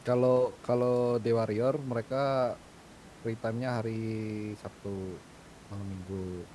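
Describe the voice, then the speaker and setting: A man's voice: on the low side, little pitch movement, measured pace, darkish tone, a little hiss or hum, rough recording. A man speaking casually in an online gaming group chat.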